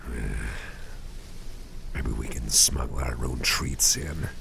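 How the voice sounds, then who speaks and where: Very deep, slow, soft-spoken caring boss played by a man. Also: fairly even intonation, a little hiss or hum, heavily acted. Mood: relaxed, musing. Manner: soft, murmured, breathy, rambling and reassuring. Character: caring, easygoing male boss, gently soothing and chatty. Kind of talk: ASMR roleplay, soft personal-attention talk.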